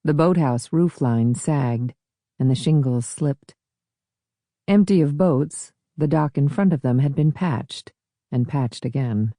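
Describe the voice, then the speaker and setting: Mellow woman narrator, dry studio sound. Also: rumbling low, takes it slowly, no echo. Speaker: audiobook narrator. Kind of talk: scripted literary narration.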